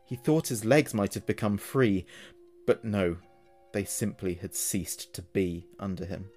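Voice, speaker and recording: A man's voice: lowish voice, unhurried, darkish tone, soft background music. A man telling a horror story as narrator, in a dramatic scripted style.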